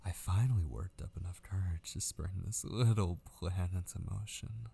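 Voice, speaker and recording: A man playing an obsessive, possessive kidnapper in an intimate ASMR roleplay, gleefully menacing and patient, slow and teasing, drifting toward whispers. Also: mellow, a little hiss or hum. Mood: gloating, playful menace. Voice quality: low, creaky.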